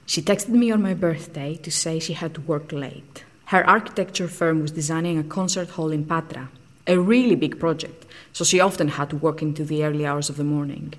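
An actress playing an intense, candid woman scientist in an audio drama, telling of lost love in an earnest, deliberate, wistful way. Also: deep voice, natural voice. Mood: animated, fond. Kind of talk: audio drama acting, confessional storytelling.

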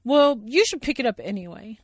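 A woman voicing a fretful, earnest young female bear in a storybook play, with a high and excitable voice. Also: brisk, dry recording, clean studio sound. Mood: scolding.

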